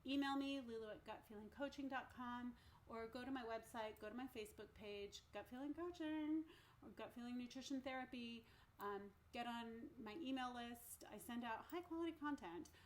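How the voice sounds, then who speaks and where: A woman's voice: fairly high pitch, moderate pace. Upbeat chatty woman hosting a meeting. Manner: chatty, says "like" and "um" often, upbeat and encouraging. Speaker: nutrition therapist hosting an online recovery meeting. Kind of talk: casual informative talk. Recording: faint background noise.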